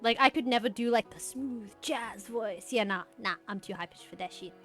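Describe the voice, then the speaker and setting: A hyper, bubbly woman with a high-pitched voice, chatting casually while streaming a game. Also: moderate pace, a bit bright, faint music behind. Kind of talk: casual gaming stream chat.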